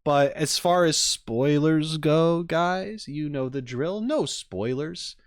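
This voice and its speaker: A man chatting casually on a livestream as he reacts to game trailers, rambling in an animated, self-deprecating way. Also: on the high side, takes it slowly, no room sound, studio quality.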